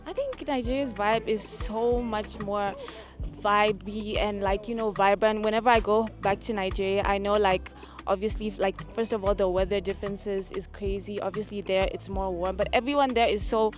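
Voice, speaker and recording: Teen girl, upbeat, "you know" fillers, mid pitch, faint music. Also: steady tone, moderate pace. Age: teens. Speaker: interviewee.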